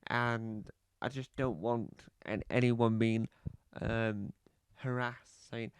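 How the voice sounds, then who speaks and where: Man plays a pompous podcaster with a cough, halting and repetitive, dry close mic. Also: medium pitch, fairly even intonation, clean tone, dry recording, close to an everyday voice. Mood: rambling. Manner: rambling, halting, fond of repeating phrases. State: slight cough. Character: self-important male celebrity podcaster, rambling. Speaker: comedy podcast host character. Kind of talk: scripted comedy monologue.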